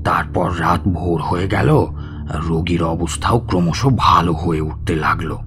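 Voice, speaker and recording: A country physician telling his ghost story in an audio drama. His voice is very low, earnest and courteous as he narrates and reasons. Music plays clearly underneath. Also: measured pace. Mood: narrating, astonished. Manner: storytelling, polite, pleading. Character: village physician recounting his ghost encounter, respectful, earnest. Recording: music behind the voice.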